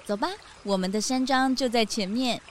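A woman with a Taiwanese Mandarin accent voicing a gracious, welcoming female sika deer in a children's audio drama, speaking in a gentle, hospitable manner. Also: a little high, normal speed, a little hiss or hum, close to an everyday voice.

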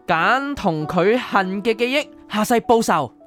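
A woman's voice: medium pitch, animated, a little slow, faint music behind, lightly acted. A woman playing a composed, enigmatic keeper of memories in the afterlife, in a radio drama. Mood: probing. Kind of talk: Cantonese radio drama acting.

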